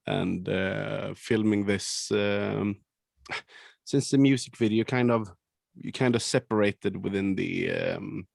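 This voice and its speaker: A Swedish man with a Swedish accent, a band's singer, answering interview questions in halting speech full of ums and restarts. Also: fairly low pitch, vocal fry, darkish tone, dry recording, studio quality. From Sweden. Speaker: band founder and singer. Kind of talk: casual interview over a call.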